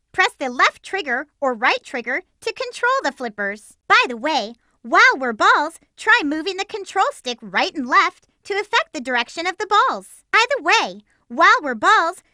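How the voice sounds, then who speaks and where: A woman's voice: sits high, sharp tone, dry recording, studio quality, heavily acted. A woman voicing a plucky, bossy young female cartoon hedgehog in a video game, with a peppy, emphatic, girlish delivery. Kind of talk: animated game voice lines.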